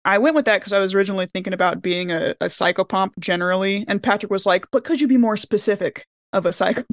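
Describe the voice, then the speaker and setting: Woman, medium pitch, casual podcast chat, laughs often, dry sound. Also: fast, no room sound. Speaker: podcast cast member.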